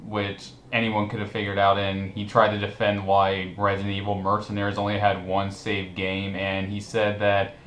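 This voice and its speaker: A man's voice: fairly low pitch, monotone, normal speed, hardly any pauses, a bit bright. A man hosting a casual group commentary chat, talking informally with friends. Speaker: host. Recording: roomy echo with some background noise.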